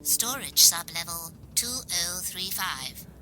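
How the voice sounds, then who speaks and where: A woman's voice: fairly low pitch, lively intonation, slow pace, bright, forceful tone, faint music behind, heavily acted, rough recording. A woman playing an impersonal automated lift announcer in a comedy audio drama.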